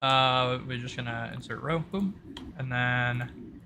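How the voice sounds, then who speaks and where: A man's voice: medium pitch, slow, noisy background. A man talking casually as game master of a tabletop roleplaying game, explaining rules in an accommodating way.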